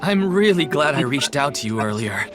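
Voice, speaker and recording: A man's voice: mid-range voice, big pitch swings, moderate pace, over music, close to an everyday voice. A man playing a sincere, thankful adult in a video game, earnest and plain-spoken. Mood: relieved, grateful.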